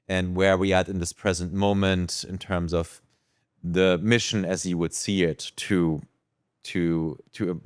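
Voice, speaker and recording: A man's voice: deep voice, clear, resonant tone, no echo, studio quality. A man hosting a podcast, introducing and questioning a guest in a conversational interview style. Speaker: podcast host.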